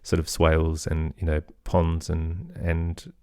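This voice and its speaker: A man with an Australian accent, talking as an interview guest in an agreeable, conversational way with softened phrasing. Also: rumbling low, monotone, slow, dry recording, clean studio sound. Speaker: podcast guest, musician and composer.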